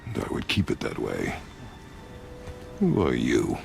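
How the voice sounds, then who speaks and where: Battle-hardened warrior father, rock-bottom pitch, gravelly, terse, commanding, stern, dramatic game delivery. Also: wildly swooping pitch, unhurried, darkish tone, soft background music, exaggerated voice. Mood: guarded, commanding. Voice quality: deep, gravelly.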